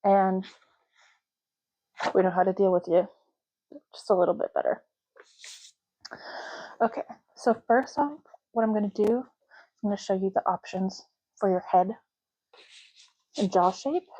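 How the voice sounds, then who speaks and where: A woman speaking softly in an ASMR roleplay, hushed, unhurried and gently explanatory, close to the microphone. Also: medium pitch, dry recording, muffled recording. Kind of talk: soft-spoken ASMR roleplay.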